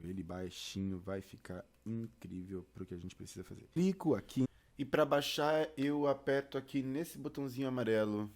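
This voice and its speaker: A man with a Brazilian accent and a medium-pitched voice walks the listener through a tutorial. His delivery is informal and chatty, like someone explaining each step directly to a beginner. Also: moderate pace, darkish tone. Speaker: theatre and audio tutorial presenter.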